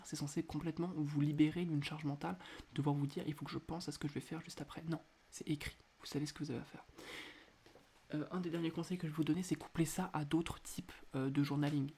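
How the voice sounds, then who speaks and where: A man hosting a casual solo podcast, talking quietly at home with a stuffy nose from a cold, hesitating often with filler sounds. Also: a little high, steady tone, quick pace, gravelly, a little hiss or hum. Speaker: podcast host. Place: indoors at home at night.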